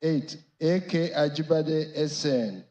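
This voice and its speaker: A male lawyer with a Nigerian accent addresses a court in a formal, deferential register. His voice sits a little high, and he moves very slowly through each phrase with ceremonious care. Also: warm tone, highs cut off. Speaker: lead counsel for petitioners in court. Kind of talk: formal courtroom announcement of appearances.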